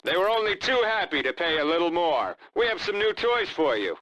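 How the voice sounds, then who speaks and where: An actor playing an eager pirate captain in a video game, with a brisk, self-satisfied way of reporting. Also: male voice, high-pitched, big pitch swings, a bit bright, dry recording, low-quality recording. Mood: pleased, eager. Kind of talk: dramatic video game voice acting.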